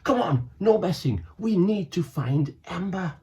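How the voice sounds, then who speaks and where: Guy doing a flustered schoolboy, breathless, voice jumping up high. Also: lively intonation, unhurried, darkish tone, highs cut off. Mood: urgent. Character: excitable schoolboy hero, young and urgent.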